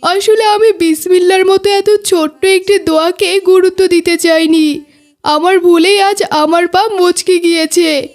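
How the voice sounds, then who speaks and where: High-pitched, earnest cartoon schoolboy played by a woman. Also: steady tone, a little slow. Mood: remorseful. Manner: earnest, apologetic, formal phrasing. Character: remorseful, pleading schoolboy who has hurt himself. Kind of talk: cartoon dialogue.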